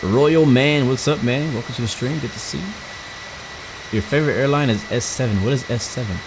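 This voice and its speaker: A woman's voice: a bass voice, brisk, noisy background. A woman from Houston chatting casually to viewers during a live flight-sim stream, rambling with frequent stumbles and restarts. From Houston, Texas. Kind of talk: casual live-stream chat while flying.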